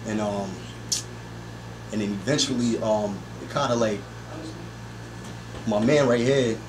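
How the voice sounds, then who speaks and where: A man's voice: fairly low pitch, measured pace. A young man, a rapper, chatting casually as an interview guest in a slangy, rambling way full of repeated filler phrases. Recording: echoey room with background noise.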